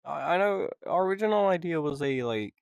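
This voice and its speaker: A man's voice: fairly high pitch, lively intonation, a little fast, vocal fry, darkish tone, dry recording. A man co-hosting a casual podcast, joking and rambling with frequent laughs. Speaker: podcast co-host. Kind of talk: casual chat.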